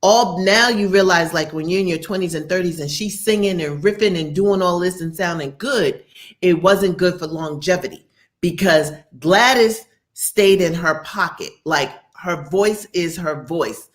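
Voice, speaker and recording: Deep-voiced woman chatting candidly. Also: measured pace. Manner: candid, animated storytelling with acted quotes. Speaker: podcast co-host. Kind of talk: casual opinion chat.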